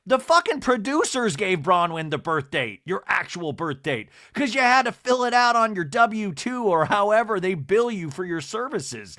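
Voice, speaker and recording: A man hosting a solo podcast that recaps reality TV, talking in a lively, rambling, sarcastic way and swearing now and then. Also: high voice, normal speed, hardly any pauses, no room sound, studio quality. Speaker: podcast host.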